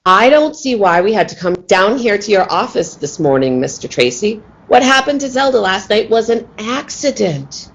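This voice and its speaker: Actress as sharp-tongued circus performer, medium pitch, animated intonation, curt, radio drama. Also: female voice, moderate pace, few pauses, lo-fi sound. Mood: defensive. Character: defiant, sharp-tongued female circus tiger trainer. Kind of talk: old-time radio play acting.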